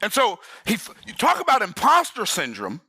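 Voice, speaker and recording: A man's voice: sits high, huge pitch swings, moderate pace, sharp tone, husky, no echo. A man in his sixties teaching the Bible in an emphatic, folksy preaching manner. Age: 60s. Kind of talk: sermon-style Bible study.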